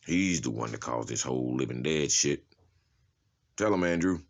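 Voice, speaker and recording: A man playing a blunt, intimidating convicted murderer with gruff, sardonic street talk in a comic drama. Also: very deep voice, lively intonation, moderate pace. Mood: cold, taunting.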